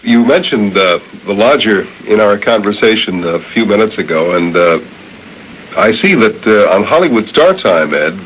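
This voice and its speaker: A man's voice: lowish voice, animated, normal speed, fairly bright tone, a little hiss or hum, low-quality recording. A man hosting a conversational radio interview, heard on a 1970s recording.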